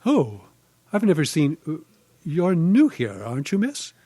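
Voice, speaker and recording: An actor playing a mild, hesitant old newspaper columnist, flustered and deferential, in an old-time radio drama. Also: male voice, a little high, huge pitch swings, a little slow, dark tone, breathy edge, no echo, exaggerated voice. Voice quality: hesitant, stammering when flustered. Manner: genial, meandering, absent-minded. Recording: live stage recording with background noise.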